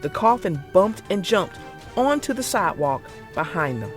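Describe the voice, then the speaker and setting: An expressive children's storyteller reads with very lively, swooping intonation. The delivery is theatrical and deliberate, made for young listeners, over faint background music. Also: medium pitch. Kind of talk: expressive scripted read-aloud for young children.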